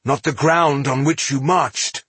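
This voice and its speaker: A man's voice: mid-range voice, steady tone, unhurried, fairly bright tone, husky, no echo, voice pushed up. A man voicing an indignant, grieving male elf who accuses with passion in a fantasy audiobook. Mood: furious accusation. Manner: accusatory, impassioned. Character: anguished, indignant male elf accusing his people's killer.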